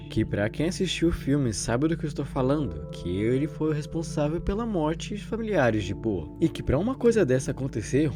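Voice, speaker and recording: Young male Brazilian video essayist: expressive pitch movement, wordy conversational storytelling, clear music bed underneath. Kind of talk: conversational scripted commentary. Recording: background music under the narration.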